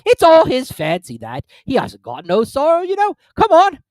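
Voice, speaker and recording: A reader voicing the Gryphon, a bossy creature who talks loudly in coarse common speech. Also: sits high, huge pitch swings, normal speed, no echo, pitched above the performer's own voice. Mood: brash. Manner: loud, gruff-worded, coarse common speech. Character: brash, bossy male Gryphon.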